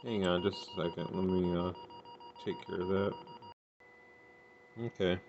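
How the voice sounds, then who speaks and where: Low-pitched, monotone man with gravelly fry. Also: a little slow. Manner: matter-of-fact, thinking aloud. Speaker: radio hobbyist demonstrating and testing equipment. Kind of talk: casual off-the-cuff product demonstration. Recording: dull lo-fi sound with faint noise.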